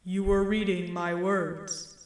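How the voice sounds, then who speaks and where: A woman voicing a calm, almighty disembodied male creator in a story, solemn, measured and patient, with an echo effect. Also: lowish voice. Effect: reverberant echo on the voice. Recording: echoing reverberant sound.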